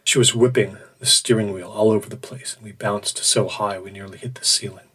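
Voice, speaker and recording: An actor playing an anguished, burned-out man in his forties, narrating his own story haltingly in an audio drama. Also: lowish voice, fairly even intonation, fairly bright tone, breathy edge. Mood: weary, reflective. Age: 40s. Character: burned-out, anguished middle-aged office man recounting his breakdown.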